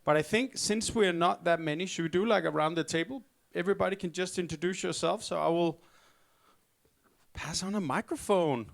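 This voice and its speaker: A man moderating a panel session. His voice sits a little high, and he talks in an easy, informal, conversational way. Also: moderate pace, gravelly. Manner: relaxed, informal, chatty.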